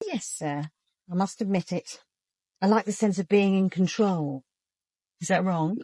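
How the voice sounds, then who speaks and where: A woman's voice: medium pitch, measured pace, dark tone, slightly rough, no room sound. An actress playing a keen, candid British junior police officer in a radio drama, frank but deferential to her superior. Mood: candid, thoughtful.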